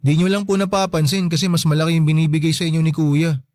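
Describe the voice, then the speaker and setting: A man's voice: a little high, little pitch movement, quick pace, darkish tone, no room sound, studio quality, close to an everyday voice. A man playing a meek, polite young working son in a radio drama, shy and submissive in manner. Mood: meek, patient. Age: young adult. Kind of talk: Filipino radio drama acting.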